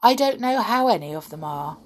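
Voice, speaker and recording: A woman's voice: mid-range voice, animated, fairly quick, pitched above the performer's own voice, close to an everyday voice. A woman voicing a young male kitten who speaks earnestly and politely.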